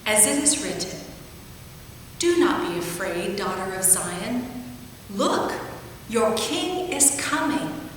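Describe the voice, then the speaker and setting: A woman's voice: medium pitch, a bit bright. A woman giving a formal reading from the Bible. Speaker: scripture reader. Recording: very echoey large hall, distant, faint noise.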